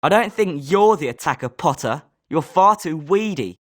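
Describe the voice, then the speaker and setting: Young British schoolboy wizard. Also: male voice, fairly high pitch, animated, normal speed. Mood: sneering. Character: schoolboy wizard student, polite but sometimes sneering. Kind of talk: scripted video game character lines.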